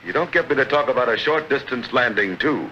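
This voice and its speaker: A man's voice: animated, a little fast. A man narrating a 1940s pilot training film in a folksy, matter-of-fact way, talking like an experienced flight instructor. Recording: muffled old film soundtrack with loud engine noise. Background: aircraft engine rumble.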